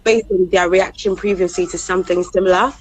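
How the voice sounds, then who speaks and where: A woman with a slightly hoarse voice co-hosting a casual live chat, candid and blunt, with fillers and small laughs. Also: on the low side, steady tone, fairly quick, dry recording, rough recording. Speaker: co-host.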